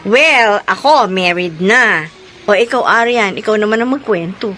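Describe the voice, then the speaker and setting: A woman's voice: mid-range voice, lively intonation, measured pace, pushed and bright, a little hiss or hum. An actress playing a chatty, teasing married woman in a radio drama, exclaiming and gushing. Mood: eager. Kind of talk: Filipino radio drama acting.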